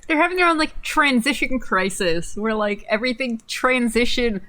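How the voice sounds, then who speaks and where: A young adult woman co-hosting a livestream, reading an article dramatically with incredulous, slightly halting delivery and casual asides. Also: on the high side, sharp tone, poor audio. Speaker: co-host of a livestream.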